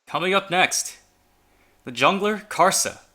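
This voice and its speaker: A man's voice: high voice, expressive, unhurried, bright, forceful tone. A man whose first language is Chinese, casting an esports match in a casual, chatty way and announcing players one by one. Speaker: esports caster. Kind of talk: fan esports commentary.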